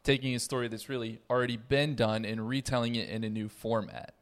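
A man's voice: mid-range voice, fairly quick. Male podcast moderator, mid-range and very clean in tone, conversational. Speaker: podcast host. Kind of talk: casual discussion moderating.

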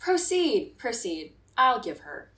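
A woman playing a meddling, scornful gentleman in a Shakespearean radio play, theatrical and admonishing, in an echoey room. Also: fairly high pitch, very animated, takes it slowly, exaggerated voice. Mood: commanding.

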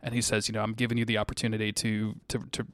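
A man co-hosting a podcast, recapping TV in an animated, slangy and profane way. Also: on the low side, racing, dry recording. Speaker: podcast co-host. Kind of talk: casual TV episode recap.

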